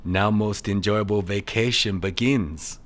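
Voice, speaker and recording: An actor playing a genial, humble Chinese detective in an audio mystery drama, speaking formal, clipped broken English full of polite sayings. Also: male voice, lowish voice, fairly even intonation, a little slow, lightly acted. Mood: courteous, humble. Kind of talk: audio re-creation of a 1930s mystery film.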